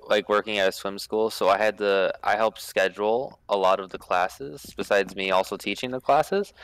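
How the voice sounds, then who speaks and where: A man with a low, smooth voice tells a work story on a podcast and acts out every complaining customer. He swears freely when he gets worked up, and the sound is dry and close. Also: a bit bright, dry recording, low-quality recording. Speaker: podcast co-host. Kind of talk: casual chat, storytelling.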